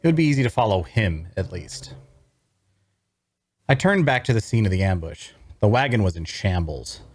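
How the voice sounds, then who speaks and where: A man playing a brooding adventurer, narrating his grim journey in a measured, deliberate way at a scripted table read. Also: lowish voice, expressive, dry recording.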